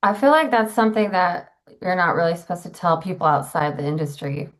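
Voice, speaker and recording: A woman performing on a comedy show, trading casual banter in a deadpan way. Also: lowish voice, brisk.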